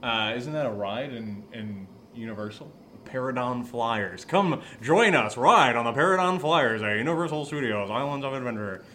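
A man's voice: medium pitch, expressive, normal speed, few pauses, pushed and bright. A man playing a tipsy, dismissive podcast co-host who rambles and muddles words in an audio drama. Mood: tipsy, muddled. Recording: roomy, faint background noise.

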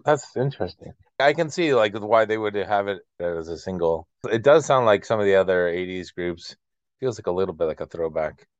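A man's voice: low voice, lively intonation, fairly quick, dry recording, muffled recording. A man co-hosting a casual music chat, speaking in a reflective, hesitant way peppered with filler words. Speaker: co-host of a music discussion show.

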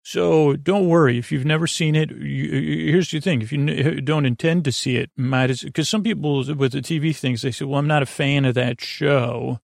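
A man hosting a sleep podcast, talking in a meandering, gentle way with many restarts and fillers. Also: on the high side, moderate pace, gravelly, few pauses, no echo, clean studio sound. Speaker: podcast host. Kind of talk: rambling bedtime podcast intro.

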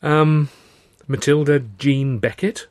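A man's voice: on the high side, fairly even intonation, takes it slowly, darkish tone, no echo, pitched above the performer's own voice. A man playing a hot-tempered, flustered husband in audiobook dialogue, blustering and emphatic. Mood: nervous, formal.

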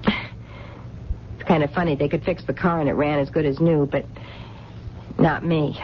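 A woman's voice: low voice, steady tone, measured pace. An actress playing a lonely, stubborn, dreamy woman who clings to romance, speaking in plain colloquial words in a radio drama. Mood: wistful, hesitant. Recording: muffled, noisy 1970s radio broadcast.

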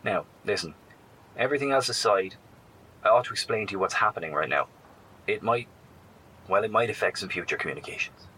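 An actor playing a weary, wry professor out in a wasteland in a horror audio drama, earnest, self-deprecating and halting. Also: male voice, on the low side, bright, forceful tone, a little hiss or hum, poor audio. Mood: serious.

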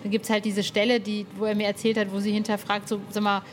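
A woman's voice: mid-range voice, monotone, fast, slight background noise. A woman hosting a podcast interview, chatting in an enthusiastic, rambling and colloquial way. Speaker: podcast host. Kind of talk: casual interview chat.

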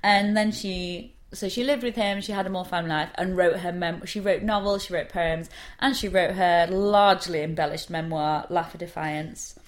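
A woman's voice: on the low side, fairly even intonation, moderate pace, few pauses. A woman in her twenties, co-hosting a podcast and telling a history story in a sarcastic, jokey, sweary way. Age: 20s. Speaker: podcast co-host. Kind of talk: casual chat, storytelling.

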